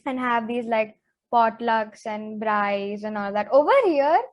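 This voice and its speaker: Teen girl co-host, slightly high voice, chatty and quick to get worked up. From Zambia. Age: teens. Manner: chatty, animated, says "like" a lot. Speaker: co-host, interviewee. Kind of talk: casual chat podcast.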